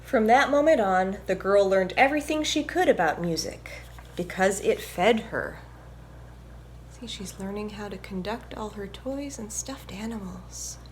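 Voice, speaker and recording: A woman reading aloud and teaching music to young children in a gentle, encouraging, instructive manner. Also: medium pitch, expressive, measured pace, slight background noise. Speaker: violinist presenting a children's story time. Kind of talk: picture book read-aloud and music lesson for children.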